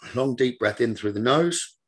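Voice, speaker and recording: A man leading a guided meditation as a teacher, giving gentle, repetitive instructions in a slow manner. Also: medium pitch, lively intonation, husky.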